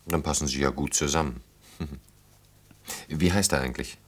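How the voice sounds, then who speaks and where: Sardonic, deep-voiced German older man. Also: measured pace. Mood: dry, needling. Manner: dry, mocking, reminiscing. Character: established older man, sardonic, nostalgic, needling a young woman. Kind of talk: naturalistic German radio play dialogue.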